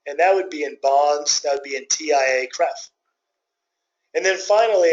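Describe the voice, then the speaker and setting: A man hosting a client conference call as a financial advisor, explaining things informally in a folksy, conversational way and thinking aloud with hesitant pauses. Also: fairly high pitch. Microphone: conference call line. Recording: dull muffled conference-call audio.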